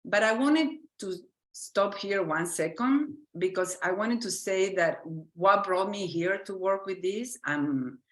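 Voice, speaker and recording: A woman from Argentina speaking English with an Argentine Spanish accent, a physician giving an earnest lecture and often pausing to search for words and restart her sentences. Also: mid-range voice, reverberant, low-quality recording. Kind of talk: lecture presentation.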